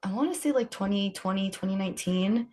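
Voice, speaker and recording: A woman's voice: mid-range voice, a little fast, darkish tone. A woman from Palestine speaking as a podcast guest, telling personal stories in a casual, informal way. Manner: informal, frequent laughter. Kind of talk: casual conversational storytelling.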